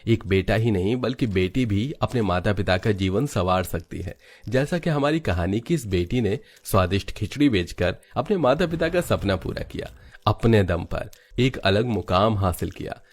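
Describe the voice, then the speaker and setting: A man's voice: lowish voice, expressive, moderate pace. A man reading scripted narration for a cartoon story as its storyteller.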